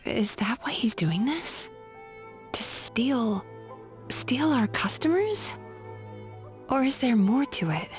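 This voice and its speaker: A woman playing a gentle, thoughtful, earnest female video game character with a measured delivery. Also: a little high, very animated, faint music behind. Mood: troubled.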